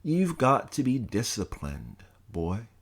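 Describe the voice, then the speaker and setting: A commanding older college president, put on by a man reading the book aloud, speaks with slow, weighty scorn. His scolding rises and falls widely in pitch, making each rebuke land hard. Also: huge pitch swings, heavily acted. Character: stern domineering older male college president. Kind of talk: audiobook character voice.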